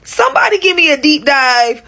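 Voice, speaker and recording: A woman's voice: high voice, big pitch swings, a little fast, sharp tone. A woman from the United States, chatting casually and animatedly into her phone with lots of filler likes. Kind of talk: casual social media clip.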